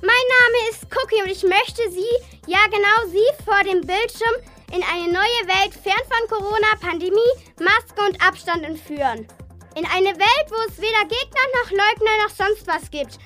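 Child acting slick female TV presenter, high bright voice, salesy, direct address, faint music. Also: moderate pace. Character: Cookie, slick female TV presenter selling a miracle product. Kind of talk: TV advert pitch in children's radio play.

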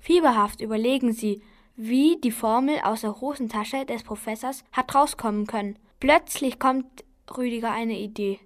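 A woman's voice: a little high, moderate pace. A woman narrating a scripted children's radio play as a storyteller.

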